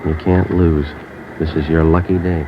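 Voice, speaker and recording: An actor playing a terse, matter-of-fact police detective in a 1950s radio crime drama. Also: male voice, low voice, level tone, normal speed. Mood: clipped. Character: terse male police detective, calm and dry. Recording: dull lo-fi old radio recording with loud hiss.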